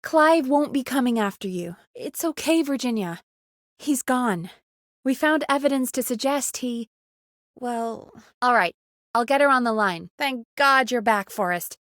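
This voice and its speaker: A woman's voice: fairly high pitch, a little slow, dry recording, studio quality. A woman voice actor playing an earnest, dedicated radio producer who gets exasperated easily, in natural video game dialogue. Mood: caring, then stressed, exasperated. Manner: earnest, expressive, exasperated at times.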